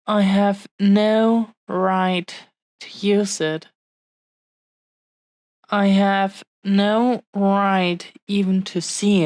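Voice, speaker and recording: Woman acting a very slow-speaking, tender dragon woman. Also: mid-range voice, a little mellow. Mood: solemn, earnest. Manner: heartfelt, halting, earnest pauses between short phrases. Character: tender, possessive young dragon woman in love. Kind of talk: romantic audio roleplay, intimate scripted monologue.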